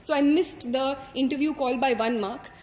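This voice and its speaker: A woman's voice: high voice, moderate pace, darkish tone, reverberant. A young woman in her twenties with an Indian English accent, a native Hindi speaker, telling her own story in an interview, thoughtful and full of small hesitations. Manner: thoughtful, with frequent hesitations and fillers. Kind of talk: candid personal story.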